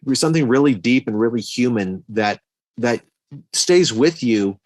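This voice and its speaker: A man's voice: mid-range voice, expressive, moderate pace, no echo. A middle-aged man co-hosting a podcast, talking through film opinions casually and thinking aloud, often repeating words as he goes. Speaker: podcast co-host reviewing movies.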